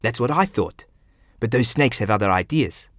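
A man's voice: medium pitch, lively intonation, normal speed, no echo, natural voice. A blunt, streetwise tough guy, as a male narrator puts him on, brash and suspicious, tagging his lines with questions. His voice swings up and down with life. The sound is dry and close.

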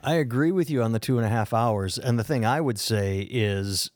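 A man's voice: medium pitch, moderate pace, a little mellow, no room sound, studio quality. An American man co-hosting a podcast, telling stories in a rambling, enthusiastic way. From United States. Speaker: podcast co-host. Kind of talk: casual podcast chat.

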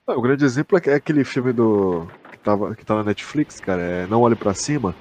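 A man's voice: on the low side, lively intonation, brisk, darkish tone. A young man with a Brazilian accent, co-hosting a podcast in casual, slangy, sweary talk, often repeating himself. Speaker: podcast co-host. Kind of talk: casual chat.

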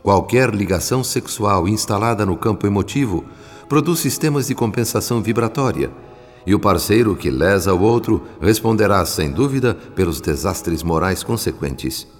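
Man playing earnest spirit narrator, Brazilian accent, deep voice, didactic radio drama delivery, music underneath. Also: lively intonation, lightly acted. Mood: solemn, instructive. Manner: measured, solemn, didactic. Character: male spirit narrator recounting life in a spiritual city, earnest. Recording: long studio reverb, music underneath.

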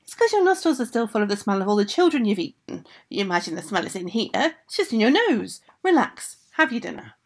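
A woman voicing a young ogress daughter who gives brisk instructions and drops into hushed asides. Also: medium pitch, big pitch swings. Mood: coaxing.

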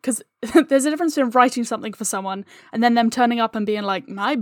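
A woman's voice: a little high, fast talker, no echo, clean studio sound. A woman guest chatting casually, wry and anecdotal, acting out remarks as she tells stories. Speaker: interview guest, audio drama creator. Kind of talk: casual chat.

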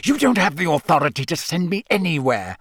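A man's voice: on the high side, big pitch swings, a little fast, fairly bright tone, no echo, clean studio sound, pitched above the performer's own voice. A man voicing a cold, suspicious male professor for an audiobook, menacing and curt, with clenched, hissing words. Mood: hissing anger. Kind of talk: audiobook character voice.

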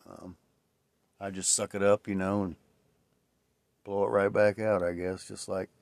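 A man in his late forties talks through his own solo podcast in a low voice. He rambles and reflects, with plenty of fillers and restarts. The sound is close and dry, with no room echo. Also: flat delivery, unhurried, vocal fry, a little mellow. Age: 40s. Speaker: podcast host. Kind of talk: casual solo podcast monologue.

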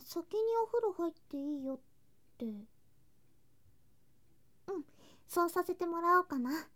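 A woman voicing a sweet, affectionate younger girl cousin in a Japanese ASMR situation drama, in a cutesy, clingy manner with soft whispery touches. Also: very high-pitched, measured pace. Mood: cheerful.

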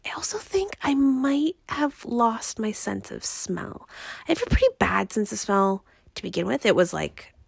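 A young woman podcast host chatting casually and ramblingly, her voice raspy and congested as she recovers from illness. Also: on the high side, big pitch swings, normal speed, no echo. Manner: rambling, conversational. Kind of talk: casual personal podcast chat.

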